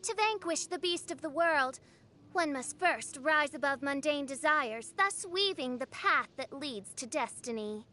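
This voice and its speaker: Haughty, very high-pitched anime princess. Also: female voice, animated, unhurried, pushed and bright, a little hiss or hum, heavily acted. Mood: grandiose. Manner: pompous, archaic, melodramatic diction. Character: theatrical young self-styled princess, haughty and grandiose. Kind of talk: anime game character voice lines.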